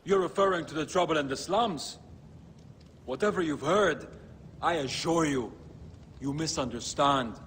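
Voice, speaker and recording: Game villain: slippery rich merchant, highish voice, condescending politeness, long echo. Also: male voice, unhurried, pauses often, fairly bright tone, a little hiss or hum. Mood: smooth, defensive. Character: smooth-talking, menacing male merchant villain. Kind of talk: video game character acting.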